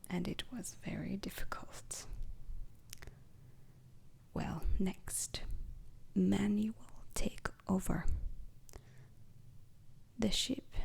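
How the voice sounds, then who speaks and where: A woman giving a whispered ASMR roleplay lecture as a calm, methodical space-mission instructor, breathy and crackly, pausing deliberately between short phrases. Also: medium pitch.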